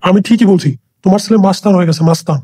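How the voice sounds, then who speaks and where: A man as husky, irate cartoon village father. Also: sits high, very fast, warm tone. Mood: angry. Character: exasperated foul-mouthed village father, fed up with son. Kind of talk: comic Bengali cartoon skit dialogue.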